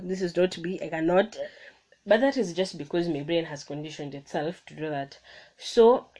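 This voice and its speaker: Low-voiced young woman, chatty phone podcast. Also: darkish tone, poor audio. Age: young adult. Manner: chatty, self-correcting, motivational. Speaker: podcast host. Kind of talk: casual solo podcast monologue. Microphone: phone microphone.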